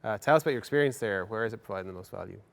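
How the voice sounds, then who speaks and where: A man hosting a casual business interview, speaking for his software company in an affirming, encouraging way. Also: lowish voice, very fast, vocal fry.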